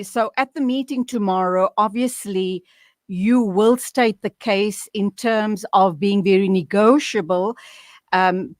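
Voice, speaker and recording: A woman hosting a radio interview, asking long, formal questions in a measured way. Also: medium pitch, dry recording, studio quality. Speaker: radio host.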